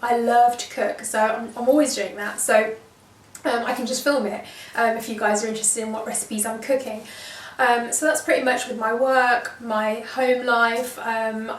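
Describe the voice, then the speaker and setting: A woman in her early thirties from the UK, chatting to camera in a relaxed vlog with lots of ums and repeated words. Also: on the high side, far from the mic, slight background noise. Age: 30s. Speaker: lifestyle vlogger. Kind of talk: casual chat to camera. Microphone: shotgun mic on camera. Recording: echoey room, mic set back.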